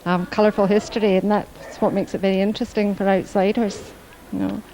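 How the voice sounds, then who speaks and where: A woman from Scotland with a Scottish accent answers an interviewer's questions in a friendly, chatty way, dropping in the odd uh-huh. Her voice is warm and mellow. Faint background noise sits under the recording. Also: mid-range voice, level tone, a little fast, low-quality recording. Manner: chatty, friendly, agreeable with frequent uh-huhs. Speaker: tourist interviewee. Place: indoors at a folk arts institute.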